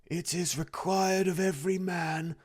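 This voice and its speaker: A man voicing a tormented male ghost in chains, howling his words in a drawn-out, mournful way. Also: high voice, steady tone, voice pushed up. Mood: wailing.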